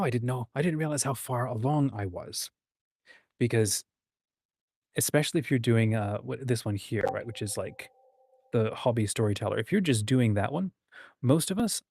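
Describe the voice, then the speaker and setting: A man's voice: mid-range voice, a little mellow, no room sound, clean studio sound. A man hosting a livestream in a casual, informal and encouraging chatty way. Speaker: host of a writing community livestream.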